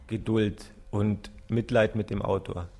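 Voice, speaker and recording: A man's voice: on the low side, steady tone, a little slow, darkish tone. A man giving an informal interview answer about a book, hesitant as he puts it together.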